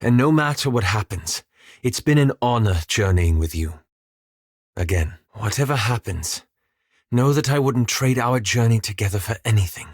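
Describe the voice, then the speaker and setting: An actor playing a reserved, scholarly male fantasy adventurer in a video game, speaking formally and articulately with measured, wry understatement. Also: mid-range voice, animated, husky, no room sound, clean studio sound. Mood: earnest, warm. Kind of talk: scripted video game character dialogue.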